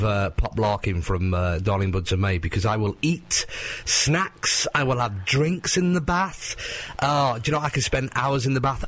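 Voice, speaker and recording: A man's voice: medium pitch, fairly bright tone. A man presenting a casual phone-in radio show, chatty and teasing, laughing often.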